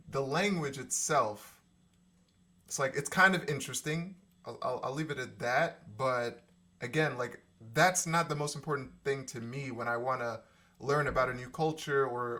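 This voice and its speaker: A male podcast host speaking in a measured, thoughtful way in casual conversation. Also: mid-range voice, pushed and bright. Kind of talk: casual chat.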